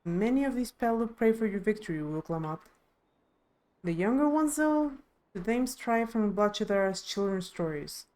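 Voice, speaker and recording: Woman doing a loyal young villager from a video game, thoughtful, measured, explains stuff, mellow voice. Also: mid-range voice, lively intonation, lightly acted. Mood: grave. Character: thoughtful young villager, steadfast ally of the heir.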